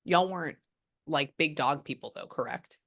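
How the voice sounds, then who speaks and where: A woman in her thirties with a low, deep-set voice talks a client through a reading. She rambles and jumps into quick bursts of surprise, chatting loosely over a video call. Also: creaky, fairly bright tone. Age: 30s. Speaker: psychic medium.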